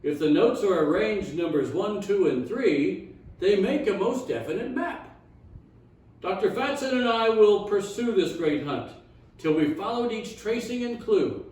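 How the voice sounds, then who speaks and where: Man doing a self-assured famous detective, storybook style, declamatory, animated pitch, heavy room echo. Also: measured pace. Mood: confident, announcing. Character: famous male detective, confident and grandly declarative. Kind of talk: children's storybook reading. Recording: echoey room, distant mic.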